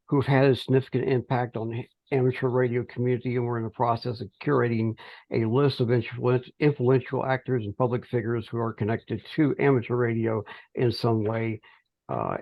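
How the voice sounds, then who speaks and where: Male, middle-aged, creaky mid-pitched voice, halting informal teleconference report, close dry sound. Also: steady tone, dry recording. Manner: halting, hesitant delivery with pauses mid-phrase. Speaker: amateur radio project volunteer. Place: remote teleconference call. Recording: dull, band-limited teleconference audio.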